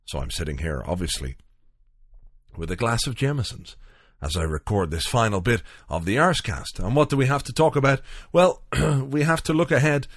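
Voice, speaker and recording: A man's voice: medium pitch, animated, moderate pace, dry recording, clean studio sound. A man hosting a podcast from home late at night, chatting loosely and rambling with lots of fillers and asides. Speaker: podcast host.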